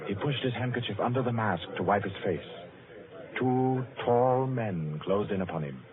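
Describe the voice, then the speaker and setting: A man's voice: lowish voice, normal speed, close to an everyday voice. A man narrating a suspense tale for an old radio drama in a solemn, scripted storytelling manner. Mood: suspenseful. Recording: muffled, tinny old radio recording. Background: music.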